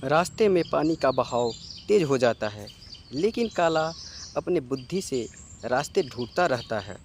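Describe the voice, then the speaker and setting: Male narrator for a children's cartoon fable, very unhurried, mid pitch, light birdsong noise behind. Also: expressive.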